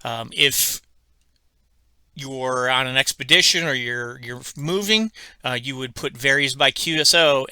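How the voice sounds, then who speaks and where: A man giving an unscripted, step-by-step software tutorial in a halting, methodical, instructional way. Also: mid-range voice, clean tone, dry recording. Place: indoors at a computer, screen-recording voice-over.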